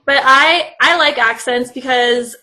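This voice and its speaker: A young woman from California talks in a relaxed, chatty way, her Californian accent plain in every phrase. Her voice sits high and has a sharp, forceful brightness. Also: unhurried. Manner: chatty, many "like" fillers. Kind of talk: casual discussion.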